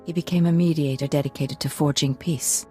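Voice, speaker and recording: A woman acts a haughty noblewoman in a video game drama. Her voice sits fairly low, and she speaks formally and sternly, with an aristocrat's guarded reserve. Music plays underneath. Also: steady tone, a little fast, dark tone, natural voice. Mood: formal, cool.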